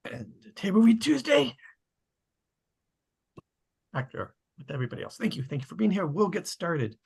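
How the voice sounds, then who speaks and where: A man with a slightly husky voice, casually hosting an online table read and narrating the stage directions. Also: sits high, big pitch swings, moderate pace, a little mellow. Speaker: host and stage-direction reader. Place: online video call.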